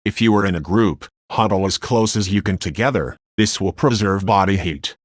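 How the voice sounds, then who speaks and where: A man's voice: low voice, moderate pace, no echo, clean studio sound. A man playing a sombre weather service expert, giving plain, grave survival instructions in a scripted radio drama. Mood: grave, instructive. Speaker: radio show guest expert.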